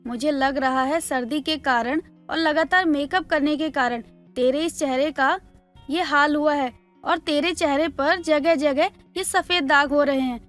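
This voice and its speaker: Casting: caring cartoon mum, high voice, practical, brisk motherly advice. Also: fairly bright tone, faint music behind. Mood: advising. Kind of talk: Hindi animated moral story dialogue.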